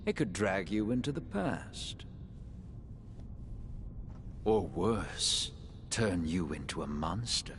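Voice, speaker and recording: A man's voice: low voice, sing-song, takes it slowly, pauses often, background noise, full character voice. A man playing a sly, courteous monster in a video game cutscene, drawling theatrically with arch formality. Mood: mocking warning.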